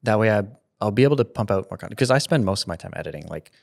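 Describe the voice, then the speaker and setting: A man's voice: fairly low pitch, lively intonation, racing, gravelly. A man in his thirties talking casually as an interview guest, rambling and restarting his sentences, often laughing. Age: 30s. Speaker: content creator guest. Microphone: studio mic with headphones.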